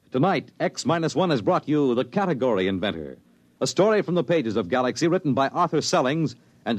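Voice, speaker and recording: A man giving a formal closing announcement and cast credits for a 1950s radio show, in a measured, polished delivery. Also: medium pitch. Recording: 1950s radio broadcast with faint music.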